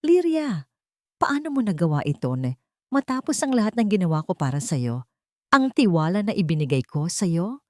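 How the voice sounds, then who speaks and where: A woman's voice: fairly low pitch, sing-song, normal speed, mellow, no room sound. A woman voicing a rich, betrayed father in an audiobook drama, with emphatic, commanding outbursts. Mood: cold, betrayed. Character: rich man, cold, betrayed, furious, later panicked father.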